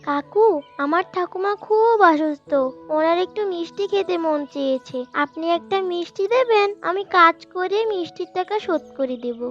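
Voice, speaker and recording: A woman's voice: very high-pitched, normal speed. A woman voicing a poor, earnest young village boy, a pleading grandson in a cartoon story. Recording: dull lo-fi cartoon audio with music.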